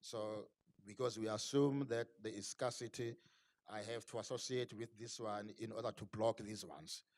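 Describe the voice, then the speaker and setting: A man's voice: on the low side, steady tone, moderate pace, darkish tone, dry recording, clean studio sound. A man speaking at a book launch, earnest and analytical, often restarting with repeated words. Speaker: panel speaker. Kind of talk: unscripted talk at a book launch.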